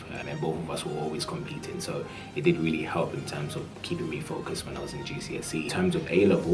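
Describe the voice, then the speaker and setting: Very deep-voiced young man. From Ghana. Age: 20s. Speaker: doctor. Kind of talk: interview to camera, personal story. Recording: music bed behind voice.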